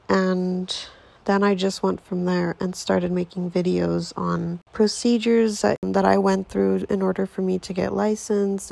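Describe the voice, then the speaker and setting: A woman's voice: medium pitch, gravelly, mellow, no room sound. A woman in her twenties hosting her own podcast, chatting casually in a rambling way with lots of fillers. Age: 20s. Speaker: podcast host. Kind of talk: casual solo podcast intro.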